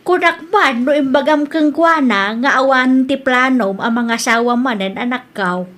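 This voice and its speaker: A fretting older mother, acted by a woman, presses her son with pointed questions in an Ilocano audio drama. Her voice sits slightly high and her accent is Ilocano. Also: moderate pace, a bit bright. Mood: concerned. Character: worried older mother of a widower.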